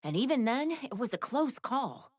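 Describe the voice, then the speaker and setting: Sassy blunt game character lady, a wife with wry quips, highish voice that moves around a lot. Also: sing-song, normal speed, darkish tone, dry recording, clean studio sound. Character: sassy, worldly wife, blunt and quick to quip. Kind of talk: animated video game character dialogue.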